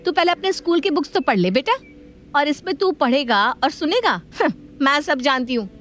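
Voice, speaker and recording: A woman playing a strict, watchful modern mother with a firm, knowing, scolding manner in an animated family story. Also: high voice, normal speed.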